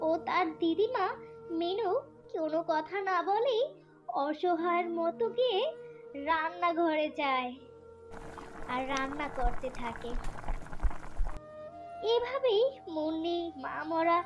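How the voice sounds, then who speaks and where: High-voiced woman narrating a fairy tale. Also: animated, slow pace, pauses often. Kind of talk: scripted storybook narration. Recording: dull lo-fi track with music.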